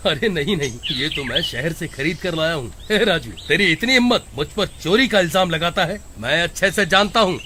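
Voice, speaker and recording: A man voicing a sly, defensive village husband in an animated story, exclaiming theatrically. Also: sits high, a little hiss or hum. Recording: music behind the voice.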